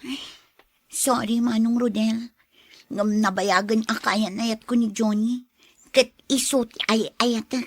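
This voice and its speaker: A woman's voice: a little high, fairly even intonation, slow, pauses often, dry recording. An actress playing an evasive young student girlfriend in an Ilocano radio drama. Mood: evasive, defensive. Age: young adult.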